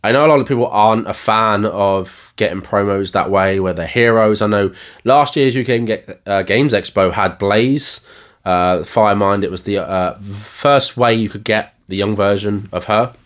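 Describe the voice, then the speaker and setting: A man hosting a card game channel, talking casually with plenty of ums, his voice a bit croaky from recent illness. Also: lowish voice, moderate pace. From England. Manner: rambling, lots of ums and uhs. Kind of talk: casual solo video update.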